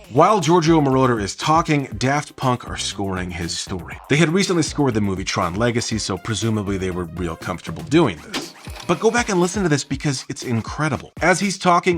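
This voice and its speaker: A man's voice: mid-range voice, fairly bright tone. A man giving an enthusiastic, conversational video essay commentary, explaining things with emphasis as host.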